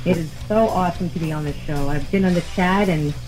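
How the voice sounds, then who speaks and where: A woman's voice: on the low side, brisk, darkish tone, soft background music, rough recording. A woman, lead singer of a band, talking as a guest in a casual radio interview, upbeat and chatty with many fillers.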